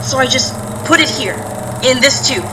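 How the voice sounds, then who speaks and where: A woman's voice: high voice, lively intonation, moderate pace, distant mic, noisy background. A woman acting in an audio play as an earnest, stubborn young daughter, imploring on behalf of her monstrous father. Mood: nervous.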